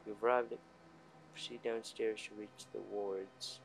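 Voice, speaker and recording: A man's voice: fairly low pitch, little pitch movement, measured pace, background noise. A man giving casual, rambling, sweary commentary as he plays a video game. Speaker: gaming video host. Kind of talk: casual let's play commentary. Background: faint game music.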